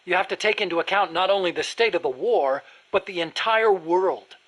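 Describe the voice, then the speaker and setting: An earnest American weapons engineer from a video game, speaks in a high, sharp-edged voice. He is nerdy and precise, eager to explain every technical detail. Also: moderate pace, slightly rough. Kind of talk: video game dialogue acting.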